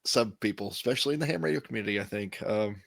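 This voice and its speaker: A man's voice: lowish voice, rapid-fire, vocal fry, no room sound. A man co-hosting a tech show, speaking casually in a panel discussion.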